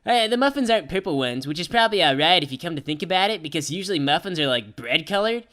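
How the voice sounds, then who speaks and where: A woman's voice: on the low side, animated, fast talker, a bit bright. A woman voicing a brash young female pony who narrates a children's story in simple childlike phrases mixed with sardonic slang. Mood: dry, casual aside.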